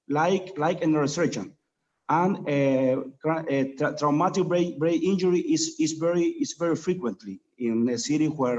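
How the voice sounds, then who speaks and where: A young Cuban man, a native Spanish speaker, asking a question on a webinar in halting English and repeating his words. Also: fairly high pitch, a little mellow. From Cuba. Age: young adult. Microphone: video call. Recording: dull lo-fi call audio.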